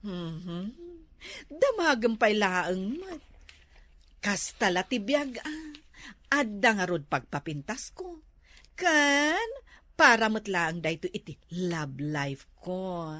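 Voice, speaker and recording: A woman's voice: medium pitch, sing-song, slow pace, a bit bright, no echo. A woman playing a vain younger sister in a comedy drama who teases and giggles about her looks. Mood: giggly. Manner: teasing, giggly. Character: vain younger sister fussing over beauty. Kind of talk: comedy web drama acting.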